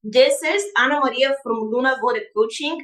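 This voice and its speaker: A woman coach whose first language is Bulgarian, speaking straight to an online audience in an upbeat, motivational way. Her voice sits a little high and sounds bright and forceful, and the room around her echoes. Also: fairly quick. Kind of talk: live online masterclass.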